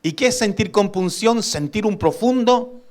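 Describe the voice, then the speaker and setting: A male pastor with a somewhat high voice delivers an emphatic sermon. He throws questions out to the congregation and stretches certain words slowly for weight. He drops into quieter asides between louder points. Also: expressive. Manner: emphatic preaching that asks the congregation for responses; swings between loud emphasis and soft asides.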